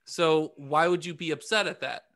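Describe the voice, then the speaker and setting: A man with a fairly high voice in casual podcast chat. He talks hesitantly, circling his point and starting it over. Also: steady tone, moderate pace, a bit bright. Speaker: podcast co-host. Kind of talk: casual group banter.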